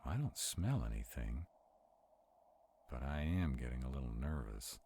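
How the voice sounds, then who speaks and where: A man's voice: very deep voice, lively intonation, unhurried, dark tone, slight background noise. A man playing a sarcastic, protective vampire in a conversational audio roleplay. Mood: uneasy, wry. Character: sarcastic male vampire, protective of a young demon companion.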